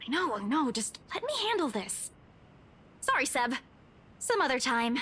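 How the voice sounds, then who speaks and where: An actress playing a spirited, friendly young working woman in a video game, casual, assertive and quick-witted. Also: high-pitched, sing-song, bright, forceful tone, husky, heavily acted. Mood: placating.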